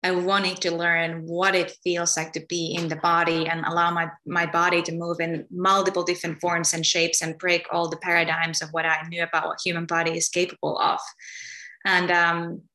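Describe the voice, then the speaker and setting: A woman from Finland, a guest in an interview, talking about her path and often pausing to search for words. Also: fairly low pitch, monotone. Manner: soft-spoken, searching for words with many ums and restarts. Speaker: guest, energy healer. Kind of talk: relaxed spoken interview.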